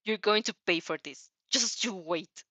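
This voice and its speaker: A woman's voice: medium pitch, a little slow, sharp tone, no room sound. A woman voicing a furious, foul-mouthed teenage bully boy, aggressive and threatening, in a fan fiction reading. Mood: outraged.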